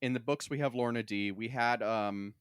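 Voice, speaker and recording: A man's voice: mid-range voice, steady tone, moderate pace, clear, resonant tone, dry recording, clean studio sound. A man talking casually as a podcast host, discussing things in a wordy, conversational way with lots of "like". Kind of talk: casual discussion of a sci-fi franchise.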